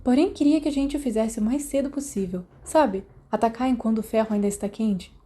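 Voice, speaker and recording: A woman's voice: on the high side, brisk, mellow, close to an everyday voice. A Brazilian woman voicing a confident, scheming schoolboy prankster with a commanding manner in a storybook reading. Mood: eager.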